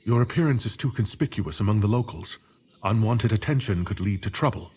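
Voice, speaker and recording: An actor playing a wise, loyal, cautious druid in a fantasy game, with a husky voice and a calm, formal advisory manner. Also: male voice, fairly low pitch, brisk, slight background noise, lightly acted. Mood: cautious, advising. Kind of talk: fantasy game cutscene acting.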